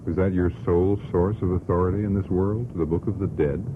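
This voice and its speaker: Very deep-voiced, sardonic man. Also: steady tone, brisk. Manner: dry, sardonic, mock-polite. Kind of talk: skeptical questioning on a talk show. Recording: noisy, tinny old radio recording.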